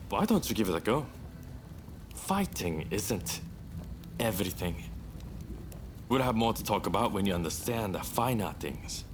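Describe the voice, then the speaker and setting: A man's voice: medium pitch, slight background noise. A man playing an easygoing, cheeky young samurai gambler in a video game cutscene, with a breezy, teasing manner. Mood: relaxed, playful.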